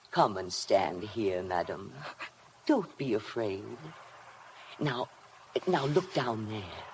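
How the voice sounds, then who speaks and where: An actress playing a cold, obsessive housekeeper in a 1950s radio drama, formal, deliberate and insinuating. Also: female voice, extremely low pitch, a little mellow, heavily acted. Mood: sinister coaxing. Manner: deliberate, insinuating, coldly formal, hypnotic repetition. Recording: noisy vintage 1950 radio broadcast.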